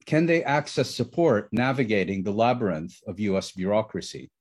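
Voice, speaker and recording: A man hosting an interview program, reading a formal introduction and asking measured questions. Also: lowish voice, animated, slightly rough. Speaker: interview host.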